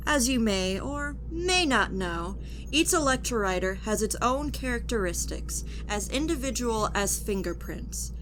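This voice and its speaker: A woman's voice: a little high, lively intonation, a little slow, noisy background. A woman playing a stern, contemptuous military judge in a futuristic audio drama, speaking in a clipped, officious and coldly formal manner. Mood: cold, interrogating. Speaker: actor. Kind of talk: audio drama acting, formal courtroom interrogation.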